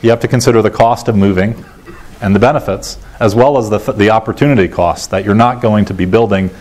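A middle-aged American man giving a technical conference talk in a measured, matter-of-fact way, pausing often as he goes. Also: on the low side, fairly even intonation, darkish tone. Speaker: conference keynote speaker. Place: large lecture auditorium, indoors. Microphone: lapel mic.

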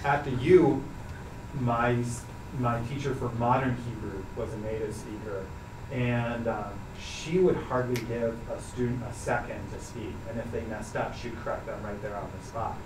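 A young man teaching a language class, explaining patiently with relaxed fillers. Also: medium pitch, normal speed. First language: English. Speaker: Hebrew teacher. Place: carpeted classroom, students seated around. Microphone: far camera. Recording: echoey room from far off, noisy.

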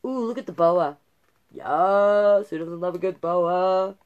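A middle-aged woman with a slightly low voice reads a children's picture book aloud at a slow pace. She is expressive and drops in her own asides as storytime host. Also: clean tone, dull audio. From Canada. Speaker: drag king storytime host. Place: small cluttered indoor room.

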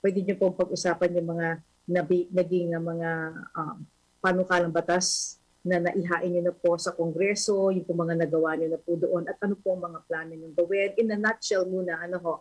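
A woman's voice: on the low side, level tone, a little fast, a little mellow, poor audio. A woman in her forties, a radio anchor introducing a guest politely, with fillers and respectful particles, in a studio. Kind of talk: interview introduction.

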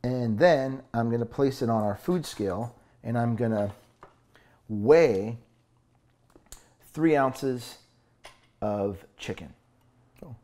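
A man's voice: medium pitch, darkish tone. Earnest man explaining a cooking demo. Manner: earnest, upbeat, explains step by step. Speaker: fitness coach. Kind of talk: cooking demo talk to camera. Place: home kitchen, indoors.

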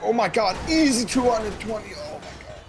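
A man in casual gameplay chat with friends, talking in animated, profane slang. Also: high-pitched, moderate pace, a crowd in the background. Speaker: gamer playing online roleplay. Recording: noisy game audio with car engines. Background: game car and street noise.

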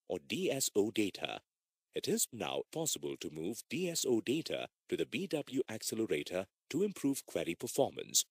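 Male training narrator: formal scripted read, highly varied pitch, husky tone. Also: no room sound, studio quality. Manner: measured, formal reading. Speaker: e-learning narrator.

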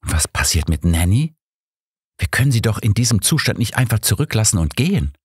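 A man's voice: lowish voice, very animated, fairly quick, a little mellow, husky, no room sound, clean studio sound, pitched above the performer's own voice, exaggerated voice. A man voicing a compassionate older male doctor, earnest and emphatic, in an audiobook. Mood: concerned. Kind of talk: audiobook character dialogue.

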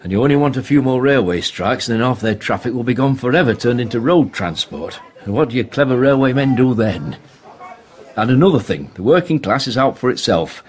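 A man acting in a radio play as a brash, ambitious, socially awkward young man, with a husky voice. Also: mid-range voice, lively intonation, fairly quick, natural voice. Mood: argumentative. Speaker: actor in an audio play. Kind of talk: British radio drama acting.

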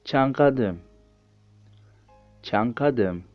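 A man with an Uzbek accent reading translated phrases one by one in a plain, even way. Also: mid-range voice, drawn-out words, no room sound, highs cut off, faint music behind. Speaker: language course host and translator.

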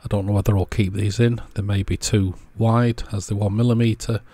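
A man's voice: on the low side, little pitch movement, a little fast, mellow. A man presenting a casual how-to in a plain, understated, step-by-step way. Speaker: presenter of an electronics repair channel.

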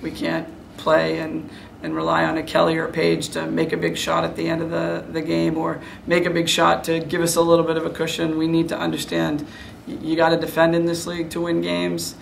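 A woman's voice: sits low, monotone, a little fast, gravelly, a little hiss or hum. A middle-aged woman, a head coach, giving frank, self-critical and serious answers in a postgame interview. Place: indoor room before a press backdrop.

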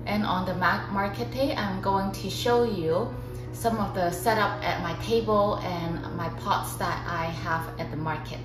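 A woman in her thirties, a potter, calmly explaining her market packing in a gentle vlog narration. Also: medium pitch. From Canada. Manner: slow, gentle, careful delivery. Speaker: potter vlogger. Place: home room indoors. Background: background music.

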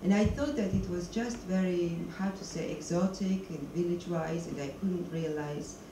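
A woman's voice: on the low side, moderate pace. A middle-aged woman, a documentary filmmaker, answers questions on stage with a handheld mic, stopping often to search for words in English. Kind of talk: stage Q&A interview. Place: stage of a screening hall, seated. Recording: echoey hall, noisy, mic from a distance.

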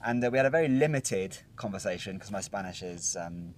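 A man's voice: deep voice, animated, a little fast, slight background noise. A young British man recapping a trip in casual chat, rambling with plenty of ums and restarts, sitting outdoors at a hilltop viewpoint. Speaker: travel vlogger.

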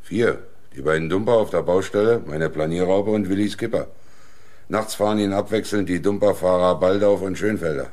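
An actor playing a gruff, blunt, defensive construction foreman in a German radio crime drama. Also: low-pitched, normal speed, darkish tone, lightly acted. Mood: matter-of-fact. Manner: blunt, terse.

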